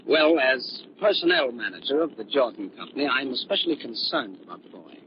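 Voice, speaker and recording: A polite company personnel manager played by a man in a 1930s radio drama. He is hesitant and earnest, with lively inflection at a middling pitch. The recording is dull and clearly noisy. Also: a little fast, natural voice. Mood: polite, concerned. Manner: hesitant, deferential. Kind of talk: 1930s radio mystery acting.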